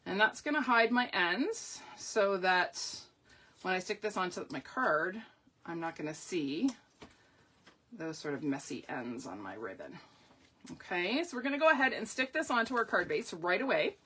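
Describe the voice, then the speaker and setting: A woman hosting a live papercraft tutorial, talking through each step and greeting viewers by name, her voice going a little croaky. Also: on the low side, expressive, moderate pace, a bit bright, a little hiss or hum. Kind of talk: live-stream craft demonstration.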